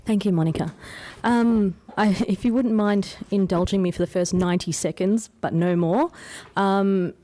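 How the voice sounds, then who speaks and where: A woman's voice: medium pitch, moderate pace, few pauses, dark tone. A woman with an Australian accent giving an informal conference talk as an academic, conversational and modest about herself. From Australia, born in England. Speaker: academic presenter, anthropologist. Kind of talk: conference paper presentation, informal.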